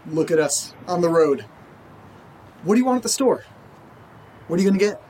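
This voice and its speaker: Eerily upbeat, animated housemate Nate. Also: male voice, high voice, moderate pace, slight background noise. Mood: cheerfully insistent. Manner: chirpy, breezy, abruptly insistent. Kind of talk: naturalistic audio drama dialogue.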